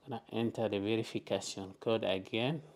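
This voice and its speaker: A man hosting a step-by-step tech tutorial, talking through each setting in plain, deliberate instructions at a desk indoors. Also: on the low side, fairly even intonation, darkish tone. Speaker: tutorial host.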